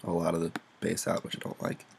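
A man's voice: deep voice, completely flat delivery, fast, gravelly, very noisy. A young man giving a casual, unscripted tutorial, informal and sweary, poking fun at himself as he explains. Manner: informal, sweary, self-deprecating. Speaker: music production tutorial presenter.

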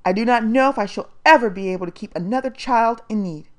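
A woman's voice: on the high side, quick pace, pitched above the performer's own voice, natural voice. A woman doing a pompous scolding foster mother. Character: stern haughty older foster mother.

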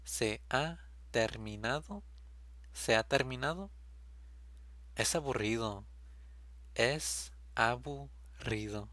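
A man reading short phrases aloud as a language drill, each one said slowly and clearly, then repeated. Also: mid-range voice, a bit bright, no echo.

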